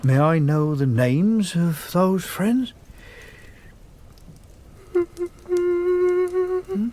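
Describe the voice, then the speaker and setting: An actor playing a gentle old blind man in a gothic audio drama, speaking kindly and reassuringly. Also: high-pitched, drawn-out words, faint music behind.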